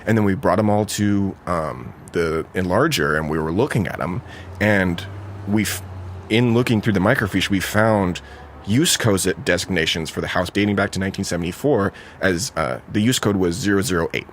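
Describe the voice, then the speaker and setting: A man's voice: low voice, moderate pace, a little hiss or hum. A man casually recalling things in an interview. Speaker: housemate being interviewed.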